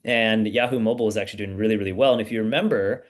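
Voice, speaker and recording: A man in his thirties, from the United States, talks through his own story as a podcast guest. His pace is easygoing and full of ums and small asides, and his voice sits a little low. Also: racing. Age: 30s. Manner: relaxed storytelling with frequent fillers. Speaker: startup founder guest. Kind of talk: casual podcast interview.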